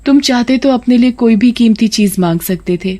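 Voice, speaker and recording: A woman's voice: a little high, moderate pace, dark tone, natural voice. Woman voicing an earnest magical golden fish. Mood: grateful. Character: magical female golden fish, pleading, wish-granting, easily hurt. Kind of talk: Hindi children's cartoon story dub.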